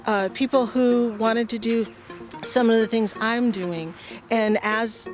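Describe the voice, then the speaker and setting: A middle-aged woman born in the Philippines, answering interview questions in a hesitant, soft-spoken way, often pausing and restarting. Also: fairly high pitch, steady tone, over music. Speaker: guest, veteran and art-program founder.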